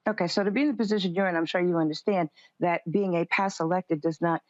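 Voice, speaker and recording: A woman speaking as a political commentator in a live interview, measured and careful in how she answers. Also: lowish voice, fairly even intonation, no room sound, muffled recording.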